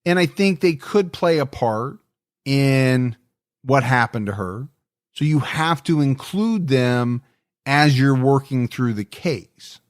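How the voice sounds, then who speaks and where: A man's voice: mid-range voice, lively intonation, slow pace, no room sound. Earnest male podcast host, dry close sound. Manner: earnest, reflective, repeats words when stressing a point. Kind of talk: casual true-crime podcast chat.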